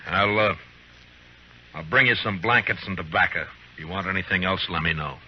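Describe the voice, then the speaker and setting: An actor playing a stern, dutiful frontier marshal in an old radio drama, terse and grave, on a muffled old recording. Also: male voice, sits low, normal speed, noisy background, lightly acted. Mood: grave, loyal. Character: stern, dutiful frontier marshal, grown man, troubled but firm. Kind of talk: old-time radio acting.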